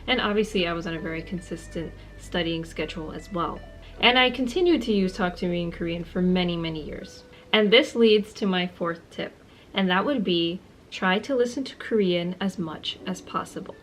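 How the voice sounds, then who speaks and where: A young woman in her twenties speaks to her viewers in a friendly, earnest vlog tone, stressing points by saying them twice. Her voice sits a little low for a woman. Faint music plays under her talk. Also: normal speed, rough recording. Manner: friendly, earnest, repeats words for emphasis. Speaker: language-learning vlogger. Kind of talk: casual talk to camera, giving tips.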